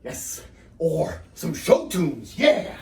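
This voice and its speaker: A man on stage performs a nervous, downtrodden husband delivering a comic lecture in a fairly high, very animated voice. He digresses, exclaims and sinks into self-pity. The sound is roomy and echoing. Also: very slow, vocal fry, exaggerated voice. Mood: wounded, rambling. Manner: digressive, self-pitying, exclaiming then trailing off. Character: nervous, henpecked, rambling husband giving a public lecture. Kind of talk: theatrical comic stage monologue. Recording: roomy, echoing, distant mic with background noise.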